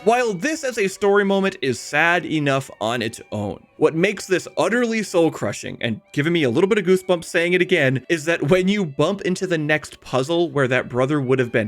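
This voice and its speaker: Man, lively swooping delivery, scripted video essay narration, conversational, emphatic, slightly high voice. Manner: emphatic, conversational, addresses the viewer directly. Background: background music.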